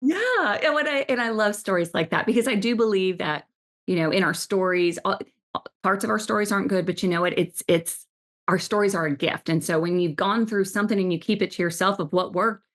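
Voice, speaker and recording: A woman's voice: mid-range voice, fairly quick, a bit bright. A woman hosting a podcast interview, gushing with praise and often restarting her sentences in enthusiastic chat. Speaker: podcast host.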